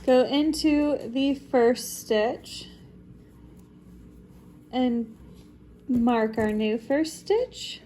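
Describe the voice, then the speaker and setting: A woman's voice: a little high, extremely slow, long pauses, warm tone, a little hiss or hum. A young woman with a congested voice, chatting her way through a crochet tutorial at home with lots of likes and ums. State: congested from a recent illness. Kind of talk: casual step-by-step craft tutorial. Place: home, at a table.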